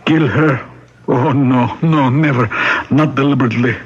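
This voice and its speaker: An actor playing an earnest, reverent parish priest in a radio mystery drama, speaking in solemn, florid phrases. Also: male voice, mid-range voice, fairly even intonation, moderate pace, slightly rough, lightly acted. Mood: earnest praise. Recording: dull vintage radio broadcast.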